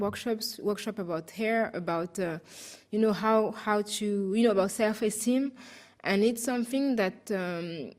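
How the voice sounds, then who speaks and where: French woman, halting public talk. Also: mid-range voice, a little mellow. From France. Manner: halting, many restarts and fillers. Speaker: guest speaker. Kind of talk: talk Q&A answer.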